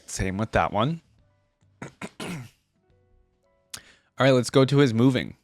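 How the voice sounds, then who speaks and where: A man's voice: medium pitch, animated, slow pace, darkish tone, no echo, studio quality, faint music behind. A man hosting a reaction video, commenting casually and musing aloud to his viewers.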